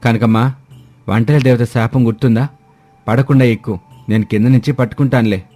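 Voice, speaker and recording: A man's voice: medium pitch, steady tone, moderate pace, mellow, natural voice. A man voicing village husbands and schemers in a moral story, with a colloquial, teasing manner. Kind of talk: moral story character acting.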